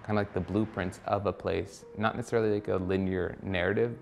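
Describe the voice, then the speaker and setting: Low, flat-voiced man musing on art. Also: brisk, creaky, slight background noise. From Costa Rica. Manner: reflective, loose, with many fillers and restarts. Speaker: artist. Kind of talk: documentary interview.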